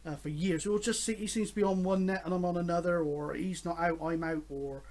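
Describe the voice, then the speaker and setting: A man in his fifties with a fairly high voice chats to his viewers about his hobby. His delivery is rambling and hesitant, with an enthusiastic, conversational feel. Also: fairly even intonation, brisk, darkish tone. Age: 50s. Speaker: host of a radio hobby vlog. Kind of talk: casual, rambling chat to camera.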